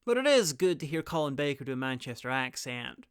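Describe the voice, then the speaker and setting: Clear, dry-recorded man narrating a review. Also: medium pitch, very animated, fairly quick, no echo. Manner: opinionated, informal, wry. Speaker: reviewer presenting an audio drama guide. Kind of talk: scripted review narration with casual asides.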